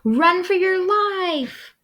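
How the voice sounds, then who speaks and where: A woman voicing a timid, excitable crowd of small prairie dogs in a picture book, with exclamatory delivery that swoops from hushed to squealing. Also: very high voice, extremely slow, pitched above the performer's own voice, heavily acted. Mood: panicked.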